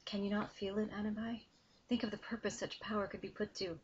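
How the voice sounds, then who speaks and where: A woman voicing a cold, contemptuous male demon who speaks low, quiet and formally in a dull lo-fi recording. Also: little pitch movement, a little fast, slight background noise, lightly acted.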